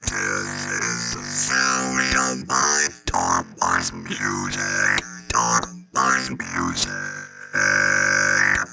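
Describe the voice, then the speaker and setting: Very deep robotic talk box voice. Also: male voice, extremely slow, gravelly, pauses often, music in the background, heavily acted. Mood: droning, playful. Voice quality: buzzy, droning, very low synthesized tone. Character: robotic synthesizer talk box singing-speaking voice. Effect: homemade talk box through synthesizer.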